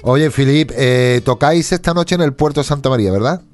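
A man hosting a casual interview, chatty and quick to joke and laugh. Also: medium pitch. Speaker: radio host. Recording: faint guitar music behind.

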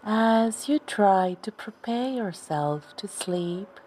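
A woman gently narrating a guided sleep meditation story for children, soothing and unhurried, with long pauses. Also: mid-range voice, animated, slight background noise, low-quality recording. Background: background music.

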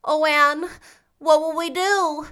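A woman's voice: high voice, steady tone, takes it slowly, a bit bright, pitched above the performer's own voice. A woman voicing a sweet, devoted teenage girl in a storybook reading, speaking in an eager, worried manner. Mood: anxious.

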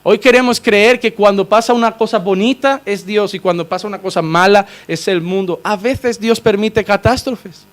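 Pastor guy preaching hard, high voice, gets loud then drops low. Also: moderate pace, hardly any pauses. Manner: impassioned preaching, swings between shouting and low quiet asides. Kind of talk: sermon.

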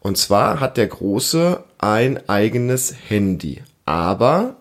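A man around his thirties or forties hosting a casual family podcast, explaining things and gently prompting with questions. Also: fairly low pitch, big pitch swings, slow pace. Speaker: podcast host, father interviewing his child.

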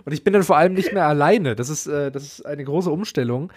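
A man co-hosting a casual podcast, his voice a bit hoarse from a sore throat, talking in an easygoing, joking way. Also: fairly high pitch, expressive, fairly quick, darkish tone, dry recording, clean studio sound. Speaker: podcast co-host. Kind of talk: casual chat. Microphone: home podcast mic.

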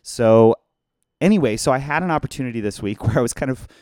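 A hoarse, tired man hosting a casual live-streamed podcast from his phone, rambling and chatty as he thanks viewers by name. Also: mid-range voice, animated, fast, a little mellow, no room sound, studio quality. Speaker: podcast host.

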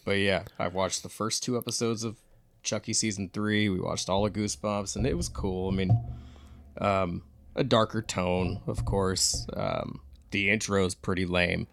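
A man's voice: deep voice, fairly even intonation, moderate pace, creaky, a bit bright, no echo. A man co-hosting a podcast, talking in a casual, conversational way. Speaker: podcast co-host. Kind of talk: casual chat.

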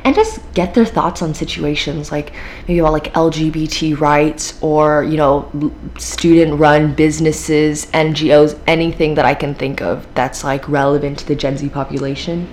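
A woman's voice: sits low, steady tone, normal speed, a little mellow, slight background noise. A teenage woman with an American accent hosting her own podcast, talking casually with lots of fillers and an upbeat, chatty manner. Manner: chatty, filler-heavy, upbeat with frequent laughs. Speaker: podcast host. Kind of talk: casual solo podcast talk.

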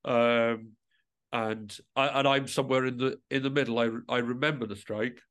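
A man's voice: medium pitch, steady tone, moderate pace, fairly bright tone. A middle-aged man speaking as an interview guest in a conversational way, thoughtfully and with hesitant rephrasing. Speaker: guest musician, composer and writer.

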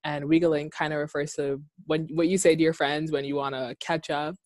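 A woman's voice: low-pitched, flat delivery, brisk, darkish tone, no echo, studio quality. A woman in her twenties from the Bahamas, co-hosting a podcast in a casual, chatty way, laughing easily. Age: 20s. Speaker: podcast co-host. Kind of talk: casual chat. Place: her own room.

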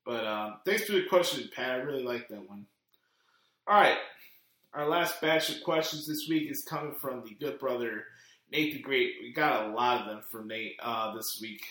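A man answers listener questions on his podcast, rambling through fillers and restarts. His voice sits at a middle pitch for a man. The recording is very echoey, as if made in a bare, reflective room. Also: normal speed, sharp tone. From Chicago, United States. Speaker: podcast host. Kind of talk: casual podcast Q&A.

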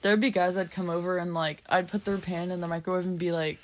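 A teenage girl whose deep voice makes her sound older than she is. She chats casually with a coworker, talking frankly and rambling a little. Her pitch sits on the low side for a woman. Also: fairly even intonation, quick pace. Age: teens. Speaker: podcast co-host, drive-thru worker. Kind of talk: casual chat.

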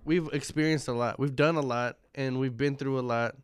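A man's voice: mid-range voice, fairly even intonation, normal speed, clean tone, no room sound. A man in his twenties co-hosting a podcast, talking in an easygoing, reflective way in a small studio. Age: 20s. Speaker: podcast co-host. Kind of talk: casual chat. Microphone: studio microphone.

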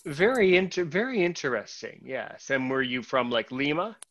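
A man's voice: medium pitch, lively intonation, moderate pace, fairly bright tone, highs cut off. A man hosting a podcast in a casual, rambling way, with fillers and restarts. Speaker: podcast host.

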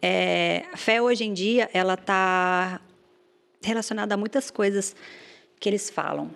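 A Brazilian woman chatting as a podcast guest, telling stories in a lively way with many restarts. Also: medium pitch, unhurried, gravelly. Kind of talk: casual chat.

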